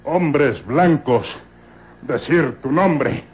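An actor playing a humble, devoted Ethiopian slave who talks in halting broken phrases, in an old-time radio serial. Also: male voice, fairly high pitch. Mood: urgent, submissive. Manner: broken speech in bare infinitives, halting. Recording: muffled old radio drama recording.

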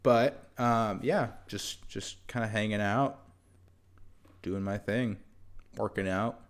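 A young man co-hosting a podcast in relaxed, rambling casual chat with halting restarts. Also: on the low side, clean tone. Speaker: podcast co-host.

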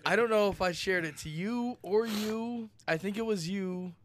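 A man's voice: high voice, normal speed, slight background noise. A man co-hosting a podcast, teasing his friends with crude banter in casual chat. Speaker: podcast co-host.

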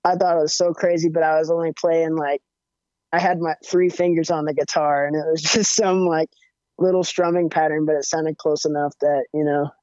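A young man with a higher-pitched voice, chatting casually as a musician guest with lots of fillers and restarts. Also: moderate pace, clear, resonant tone, no echo. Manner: relaxed, rambling, many fillers and restarts. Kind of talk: casual interview chat. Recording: dull, muffled audio.

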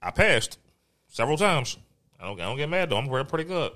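Lively bright-voiced man telling stories. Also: mid-range voice, fast. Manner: laughs often, loose storytelling with restarts. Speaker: podcast co-host. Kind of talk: casual podcast banter.

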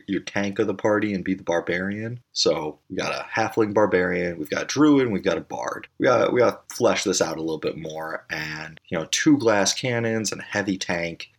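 A man hosting a podcast, talking in a rambling, enthusiastic monologue. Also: low-pitched. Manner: rambling, enthusiastic, many fillers. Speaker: podcast host.

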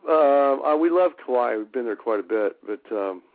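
A man guesting on a radio show, talking casually and haltingly with many fillers. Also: mid-range voice, expressive, dry recording. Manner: halting, warm-hearted, many fillers and restarts. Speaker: musician guest. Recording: dry, tinny phone line.

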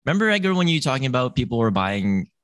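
A man's voice: medium pitch, very animated, racing, a bit bright, no echo. A man hosting a casual chat among friends, rambling with many fillers. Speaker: podcast host.

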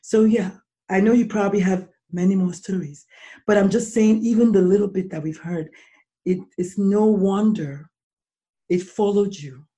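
A middle-aged woman hosting a podcast, with a deep, husky voice from swollen vocal cords, talking slowly and deliberately when she explains. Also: warm tone. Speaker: podcast host. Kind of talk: casual podcast interview.

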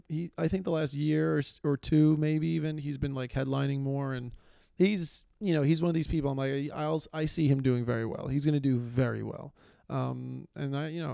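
A man's voice: mid-range voice, creaky, dark tone, dry recording, studio quality. A young man hosting a solo comedy podcast, rambling and self-deprecating with lots of fillers and restarts. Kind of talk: casual solo comedy monologue. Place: small room, filmed on video.

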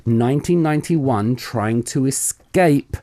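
A man from the south of England speaks with a southern English accent and a mellow, dark tone. He is a guest in a radio studio and explains history in a relaxed, chatty way, often pausing on "um.". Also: mid-range voice. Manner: relaxed, filler-heavy explaining with frequent ums. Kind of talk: casual radio chat.

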